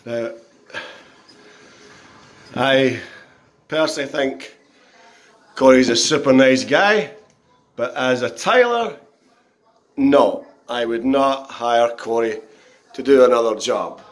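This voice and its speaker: A man reviewing a contractor's work in a handheld phone walkthrough of a half-tiled bathroom, speaking in a halting, critical way with many pauses. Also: medium pitch. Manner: slow, halting, frequent pauses and restarts; disgruntled, critical. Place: bathroom under renovation, indoors. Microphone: phone camera held in hand.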